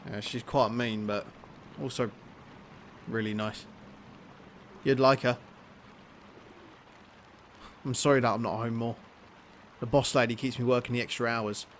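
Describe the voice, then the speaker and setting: A man's voice: mid-range voice, steady tone, measured pace, pauses often, slight background noise, close to an everyday voice. An actor playing a poor working father with a sick daughter, hesitant and tender. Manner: hesitant, tender with his child, then desperate.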